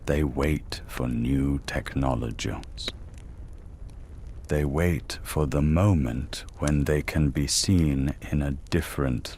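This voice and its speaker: A man with an extremely deep voice tells a bedtime story at an unhurried, lingering pace. His delivery is calm and hushed, sometimes dropping to a whisper, over a faint hiss. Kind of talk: slow soothing sleep-story narration.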